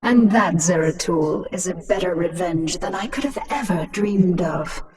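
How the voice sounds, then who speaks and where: A woman's voice: fairly low pitch, a little slow, a little mellow, far from the mic, lightly acted. A woman playing a cruel, imperious alien swarm queen in a video game, commanding and taunting. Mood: gloating, scornful.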